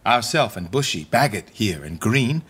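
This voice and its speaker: A man's voice: fairly low pitch, very animated, normal speed, fairly bright tone, husky, exaggerated voice. A man playing a vain, callous young king in a Shakespearean radio drama, sneering and mimicking theatrically in verse. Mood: mocking, scornful. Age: young adult.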